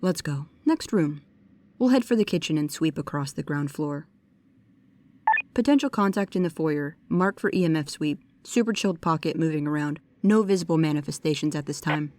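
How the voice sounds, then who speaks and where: An actress plays a bookish young female paranormal investigator in an immersive audio drama. Her voice sits a little low for a woman. She is precise and pedantic, with a nervous, hesitant earnestness. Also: normal speed, noisy background, lightly acted. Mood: pedantic, curious. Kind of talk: immersive audio roleplay addressed to the listener.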